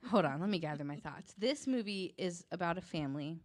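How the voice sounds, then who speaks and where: A woman's voice: lowish voice, lively intonation, a little fast, dry recording, studio quality. A woman chatting casually and jokingly as a podcast co-host.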